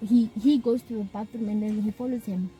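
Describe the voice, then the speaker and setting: A soft-spoken woman from South Africa, a guest author talking casually with hesitant restarts. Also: medium pitch, fairly even intonation, brisk, rough recording. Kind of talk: casual chat.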